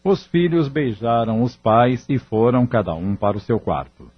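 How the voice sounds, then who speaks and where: A man's voice: mid-range voice, big pitch swings, normal speed, husky, highs cut off. A man with a Brazilian accent reading scripted narration for an audio drama. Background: music.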